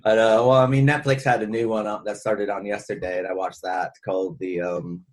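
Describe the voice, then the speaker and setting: A man's voice: on the low side, flat delivery, a little fast. Man hosting a casual podcast. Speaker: podcast host. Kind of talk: casual chat.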